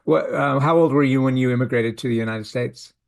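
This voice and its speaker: A man hosting a casual interview, friendly and halting with frequent restarts. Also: medium pitch, vocal fry. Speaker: show host.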